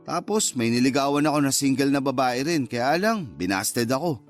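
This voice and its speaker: Aktor bilang nag-aalangang binata, katamtamang boses. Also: male voice, medium pitch, animated, moderate pace, soft background music, close to an everyday voice. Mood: hesitant, candid. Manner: casual, hesitant, mildly defensive. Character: hesitant, candid younger man who courts older women. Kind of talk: conversational radio drama acting.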